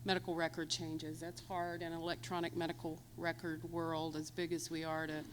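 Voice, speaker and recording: A woman panelist answering a question in a halting way with many restarts. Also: sits low, completely flat delivery, slight background noise. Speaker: hospital panelist.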